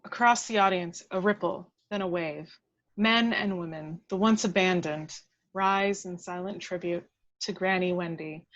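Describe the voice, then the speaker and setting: A woman's voice: on the low side, no room sound. A woman reading dramatic action descriptions as scripted narration at a table read, on a lo-fi recording.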